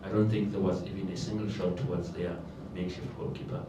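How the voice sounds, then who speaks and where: A man with a low voice poses a pointed reporter's question. The room echoes heavily and he sounds away from the microphone. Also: flat delivery, fast. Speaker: sports reporter. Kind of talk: challenging press conference question. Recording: echoey noisy room, distant mic.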